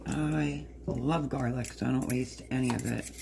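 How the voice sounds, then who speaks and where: Hoarse, gravelly woman cooking at home. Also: very deep voice, steady tone, normal speed, background noise. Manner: chatty, explains step by step while working. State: hoarse, partly lost voice. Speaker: home cook. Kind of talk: casual cooking demonstration. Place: home kitchen.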